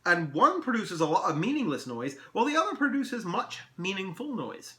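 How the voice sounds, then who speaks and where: High, theatrical, mercurial little elf. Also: male voice, sing-song, sharp tone, reverberant, exaggerated voice. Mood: musing, playful. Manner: theatrical, archaic formal phrasing, drawn-out delivery. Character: tiny mercurial male elf, merry, pompous, riddle-loving pipe smoker.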